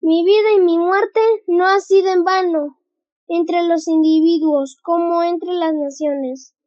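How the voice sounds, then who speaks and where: Solemn high-voiced bee president, played by a child. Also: fairly even intonation, slow, darkish tone, dull audio. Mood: weak, solemn. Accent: Mexican. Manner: solemn, declamatory. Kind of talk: school audio drama acting.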